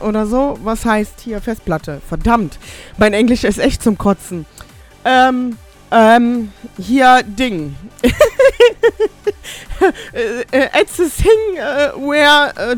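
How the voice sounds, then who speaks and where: A middle-aged woman hosting a casual radio show, rambling and self-mocking, reading listener messages in halting broken English. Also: a little high, animated, soft background music.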